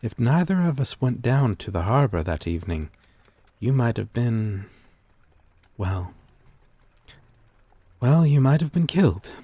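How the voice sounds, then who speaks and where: Man acting a slow, courteous immortal blood creature. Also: on the low side, full character voice. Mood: sombre, protective. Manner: gentle, formal, old-fashioned phrasing, measured. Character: courteous, melancholy male blood-creature, vampire-like, protective and intimate. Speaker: roleplay voice performer. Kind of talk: ASMR roleplay acting.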